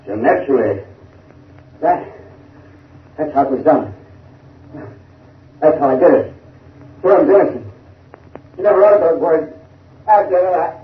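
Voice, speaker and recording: An actor playing a dying man confessing on a phonograph record, halting and strained, in 1930s radio drama style. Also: fairly high pitch, natural voice. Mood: desperate. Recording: tinny, distant, echoey old phonograph sound.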